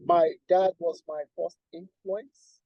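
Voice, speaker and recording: A man from Nigeria with a husky voice, a guest musician talking at length in a casual interview and restarting his sentences often. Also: high-pitched, flat delivery, takes it slowly, no echo, dull audio. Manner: rambling, repeats and restarts, lists with emphasis.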